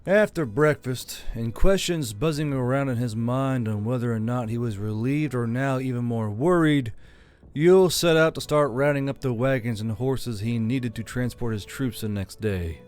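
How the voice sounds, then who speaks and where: A man's voice: mid-range voice, normal speed, darkish tone. A man reading a scripted fantasy audiobook as its narrator. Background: background music.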